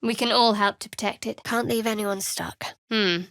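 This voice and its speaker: An actress playing a guarded, sardonic young cleric of a dark goddess in a fantasy game, with a dry, wry delivery. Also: female voice, fairly high pitch, fairly even intonation, normal speed, no echo.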